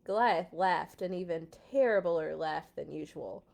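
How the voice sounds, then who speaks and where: A woman hosting a children's read-aloud, expressive, with dramatic playful delivery and casual asides. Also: fairly low pitch, measured pace, gravelly. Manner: dramatic, playful sound effects.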